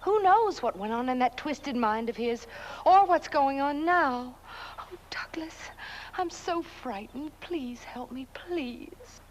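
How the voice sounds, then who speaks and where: An actress playing an anxious, scheming aristocratic wife in a horror comedy film, theatrical and pleading. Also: female voice, sits high, lively intonation, slow pace, slight background noise, rough recording. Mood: frightened, pleading.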